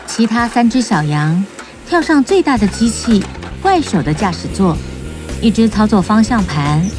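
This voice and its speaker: A woman's voice: mid-range voice, very animated, moderate pace. Woman narrating a children's story over music. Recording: music bed under narration.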